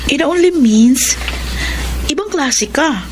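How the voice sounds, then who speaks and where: High-voiced gossipy young confidante. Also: female voice, animated, slow pace, noisy background. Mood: gossipy, teasing. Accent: Filipino. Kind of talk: Filipino radio drama acting.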